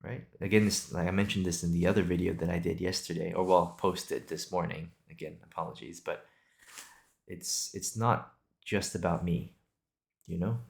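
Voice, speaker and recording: A young man with a deep voice and a lot of vocal fry talks casually to his podcast audience. He improvises as he goes, hesitating and circling back as he thinks out loud. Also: fairly even intonation, normal speed. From Singapore and Australia. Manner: rambling, hesitant, thinking aloud. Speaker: video podcast host. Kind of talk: casual improvised solo chat.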